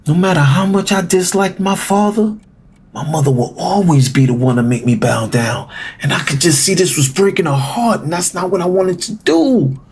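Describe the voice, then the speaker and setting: A man playing a resentful, wry son who narrates a scripted audio drama in the first person, confiding in the listener with a slightly husky voice. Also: high-pitched, lively intonation, moderate pace, darkish tone, exaggerated voice. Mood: heavy-hearted.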